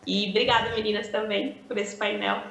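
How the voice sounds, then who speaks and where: A woman's voice: mid-range voice, measured pace, creaky, roomy sound. A cheerful woman panelist joining remotely over a video call.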